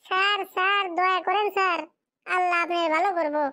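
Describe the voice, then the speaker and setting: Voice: very high voice, steady tone, fairly quick, bright, forceful tone. A performer voicing a poor, fatherless schoolboy in a cartoon drama, pleading and plaintive in a rural Bengali dialect.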